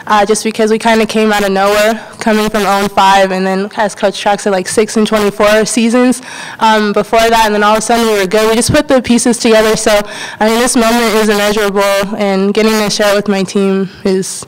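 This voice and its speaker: A woman's voice: medium pitch, level tone, brisk, smooth, low-quality recording. A young woman, a college basketball player, giving earnest answers at a press conference. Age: young adult. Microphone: table microphone.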